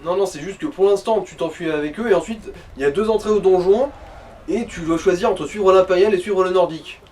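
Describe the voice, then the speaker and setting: A man's voice: high-pitched, brisk, darkish tone. A man giving casual gaming commentary, explaining things and guiding the player. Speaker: let's play co-commentator. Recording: distant mic, faint noise.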